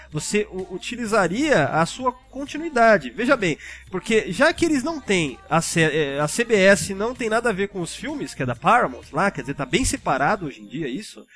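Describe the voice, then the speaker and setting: A man's voice: sits high, a bit bright, husky. A man with a Brazilian accent chatting casually as a podcast panelist, talkative and informal, with some casual swearing. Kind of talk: casual chat.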